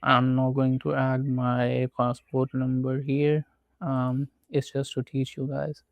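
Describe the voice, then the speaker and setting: A man from Pakistan, not a native English speaker, narrating a screen walkthrough with slow, methodical step-by-step instructions. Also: medium pitch, completely flat delivery, gravelly, no echo, lo-fi sound. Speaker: tutorial presenter.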